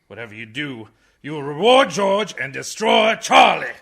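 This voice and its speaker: An actor playing a blind, tired old man, a blustering, indignant orator with a raspy voice in a radio comedy. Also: on the high side, big pitch swings, moderate pace, full character voice. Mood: blustering outrage. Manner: theatrical, ranting, swings from muttering to shouting. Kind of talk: radio comedy play parodying a film speech.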